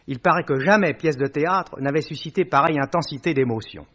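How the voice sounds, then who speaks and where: Polished male radio presenter, fairly high voice. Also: a little fast, fairly bright tone. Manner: polished, courteous. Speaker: producer presenting a radio series. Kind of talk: formal scripted radio introduction. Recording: dull old radio broadcast recording.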